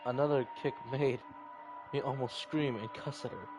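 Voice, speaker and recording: A man's voice: mid-range voice, steady tone, moderate pace. A man reading a visual novel game aloud in a dramatic way, with offhand reactions of his own. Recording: game music behind the voice. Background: game music.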